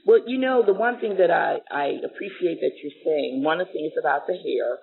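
A woman's voice: sits low, animated, fairly quick, creaky, breathy edge. A woman hosting a podcast, talking conversationally with her guest. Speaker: podcast co-host. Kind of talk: conversational interviewing. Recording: narrow, phone-like sound.

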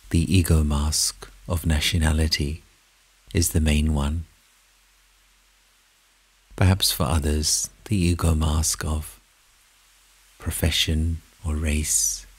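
A man leading a guided meditation, speaking in measured short phrases with long pauses, soft and at times almost whispering. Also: very deep voice, breathy edge.

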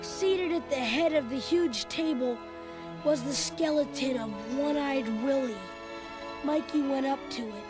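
A performer playing an excitable young boy who tells his adventure as animated, dramatic read-along storybook narration. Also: sits high, a little slow. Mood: excited storytelling. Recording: loud music under the voice. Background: loud dramatic music.

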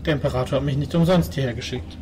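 A man's voice: medium pitch, rapid-fire. A man playing a tabletop role-playing game, speaking partly in character. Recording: clear background noise at a game table.

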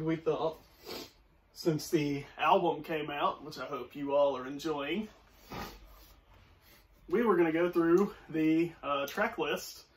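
A young man in his twenties talks casually on a couch, his slightly high voice wandering through fillers and restarts. The camera is across the room, so he sounds distant. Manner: rambling, many fillers and restarts. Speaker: co-host. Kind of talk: casual chat. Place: small room, seated on a couch. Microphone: far camera.

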